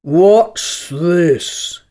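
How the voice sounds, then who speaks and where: Storybook lion, played by a woman: huge, tired and commanding, very slow, yawning, hollow delivery. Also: expressive, warm tone. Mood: sleepy. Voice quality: hollow, booming. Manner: yawning, drawn-out speech. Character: huge, weary, sleepy male lion.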